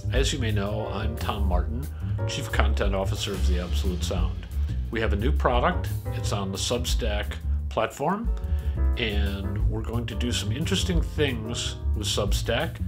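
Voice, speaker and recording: Male presenter, 60s, lowish voice, scripted promo read with clear guitar music underneath. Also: expressive, measured pace. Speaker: magazine content chief, presenter.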